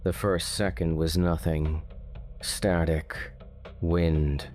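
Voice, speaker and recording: A man narrating a dark true-style mystery story in a slow, measured, ominous way. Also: deep voice, little pitch movement, a little hiss or hum. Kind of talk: scripted horror narration. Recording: faint music underneath.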